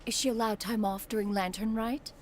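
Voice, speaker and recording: A woman's voice: on the high side, steady tone, brisk, slight background noise, lightly acted. A woman voicing a proud, aloof, motherly immortal in a video game, with formal, archaic speech. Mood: inquisitive. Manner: formal, haughty, archaic wording.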